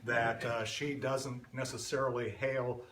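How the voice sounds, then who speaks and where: A man's voice: medium pitch, flat delivery, a little slow, background noise. A man introducing a speaker at a live event, informal and joking. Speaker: event emcee. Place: event room with audience. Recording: echoey room from a distance.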